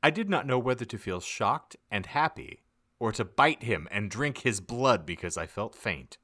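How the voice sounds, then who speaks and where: A man giving a mock-dramatic, theatrical narration of a comedic audiobook, with deliberate emphasis. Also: fairly low pitch, animated, pushed and bright. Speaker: podcast narrator.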